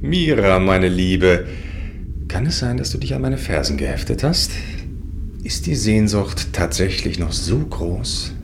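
A cocky sect leader taunts theatrically in a space audio drama. His fairly low voice swings widely in pitch, over noisy ambience. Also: sing-song, measured pace, gravelly, full character voice. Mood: mocking, defiant. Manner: mocking, theatrical. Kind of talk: German audio drama acting.

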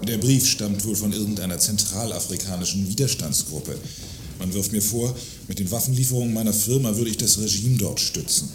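A man acts out a self-righteous industrialist in a satirical radio play. His low voice is formal and pompous, full of indignation. The sound is roomy, with faint noise behind it. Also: fairly quick, natural voice. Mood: aggrieved, dismissive. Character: self-righteous, award-winning male arms manufacturer and husband.

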